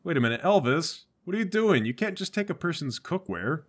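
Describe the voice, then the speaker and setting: Casting: man improvising a bossy, indignant comedy cop, slightly high-pitched and very animated. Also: brisk. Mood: exasperated. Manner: barking, indignant questions and orders. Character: bossy, exasperated, irresponsible male police officer. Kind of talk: improvised comedy tabletop roleplay.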